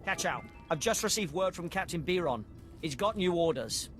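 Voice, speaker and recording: An actor playing a sardonic army sergeant in a video game, reporting in a clipped military manner. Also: male voice, sits high, expressive, moderate pace, fairly bright tone, soft background music. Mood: brisk. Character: crisp, sardonic male Imperial army sergeant.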